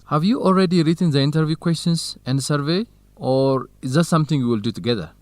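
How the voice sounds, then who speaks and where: An actor with an Ethiopian accent playing a polite, modest research partner in an educational radio drama, speaking earnestly. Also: male voice, a little high, fast, darkish tone, no echo, close to an everyday voice. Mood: practical, helpful. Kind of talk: radio drama acting, measured educational dialogue.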